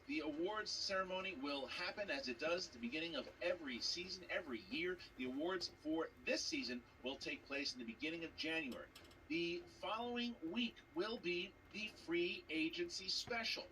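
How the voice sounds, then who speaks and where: A man hosting a trivia league, making energetic, emphatic announcements to fans and repeating phrases for effect. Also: high voice. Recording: distant mic with background noise.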